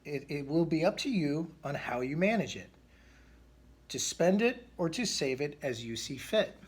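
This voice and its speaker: Man reading as emphatic husky storybook papa bear. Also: on the high side, animated, normal speed. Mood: considering, explaining. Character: blustery father bear, exasperated yet fair, in a storybook.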